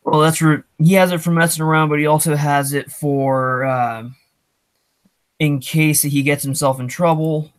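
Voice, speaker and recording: A man's voice: fairly high pitch, steady tone, moderate pace, clear, resonant tone, no room sound. A man hosting a casual livestream, talking off the cuff and thinking aloud as he goes. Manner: off-the-cuff, thinking aloud while reading chat. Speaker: livestream host.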